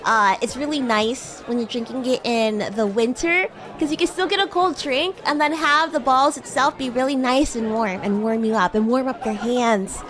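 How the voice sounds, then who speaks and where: A woman's voice: on the high side, moderate pace, few pauses, fairly bright tone, soft background music, close to an everyday voice. A woman voicing a bratty, cute demon classmate in an improvised VTuber roleplay, teasing and coy, easily flustered into stammering. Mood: cheerful. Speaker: VTuber streamer. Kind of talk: improvised VTuber roleplay, casual chat with viewers.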